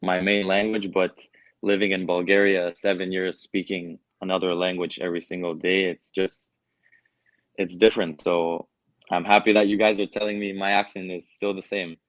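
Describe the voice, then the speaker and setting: A man's voice: sits low, moderate pace, no room sound, low-quality recording. A young man with a Canadian accent, answering interview questions casually and with many fillers. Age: young adult. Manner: relaxed, hesitant with many fillers. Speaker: guest soccer player.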